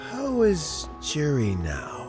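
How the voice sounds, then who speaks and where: A man playing a thoughtful, courteous older official in a video game, speaking in a measured, formal way. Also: on the high side, huge pitch swings, exaggerated voice. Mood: concerned. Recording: game music behind the voice.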